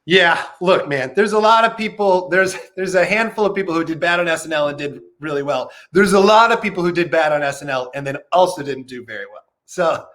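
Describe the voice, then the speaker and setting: Thirties comedian guest, high-ish voice, easygoing and wry about himself. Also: male voice, fairly quick, few pauses, a bit bright. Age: 30s. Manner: laid-back, self-deprecating, jumps into a high voice when quoting himself. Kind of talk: casual chat.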